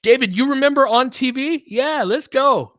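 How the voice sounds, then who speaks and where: A young man hosting a casual live game stream, giving play-by-play and chatting with viewers by name in a relaxed, conversational way. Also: extremely high pitch, normal speed, no echo, studio quality. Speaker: host of a live game watch-along stream.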